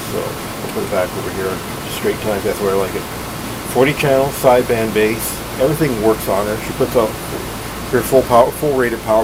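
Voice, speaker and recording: Behind this man's voice there is clearly audible noise, as in a homemade recording. His voice sits on the low side, and he talks casually and matter-of-factly. He rambles without a script, like a hobbyist showing off his gear. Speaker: radio hobbyist presenting his equipment. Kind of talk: casual unscripted show-and-tell.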